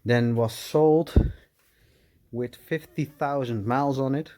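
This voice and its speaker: A middle-aged Dutch man with a Dutch accent, speaking English with many pauses and fillers as he shows his car project in a garage. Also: mid-range voice, dark tone. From Netherlands. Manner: hesitant, many uhs, apologetic. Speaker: car restoration vlogger. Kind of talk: casual walkaround vlog.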